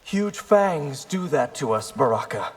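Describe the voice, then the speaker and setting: A man playing an earnest, wry young ninja in a video game, husky-voiced and casually quick. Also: on the high side, big pitch swings, heavily acted. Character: easygoing, earnest young human ninja from Earth. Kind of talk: video game fighting banter.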